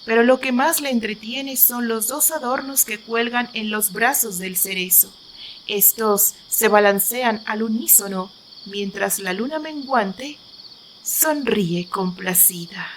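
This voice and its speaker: A woman storyteller with a fairly high voice and a Mexican accent. She narrates scripted fiction in an expressive, theatrical way. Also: slow pace, a little hiss or hum, rough recording.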